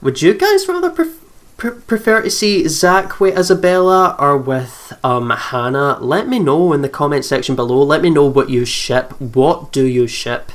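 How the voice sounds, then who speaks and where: A let's play host reading a horror visual novel aloud and commenting casually, with excitable, jumpy reactions. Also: medium pitch, moderate pace. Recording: music behind the voice. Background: game music.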